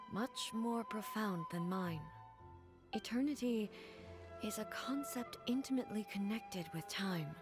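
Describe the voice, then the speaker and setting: A woman voicing a grave, composed female ruler-goddess in a game, speaking calmly and deliberately. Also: mid-range voice, lightly acted. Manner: calm, deliberate and earnest. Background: game soundtrack music.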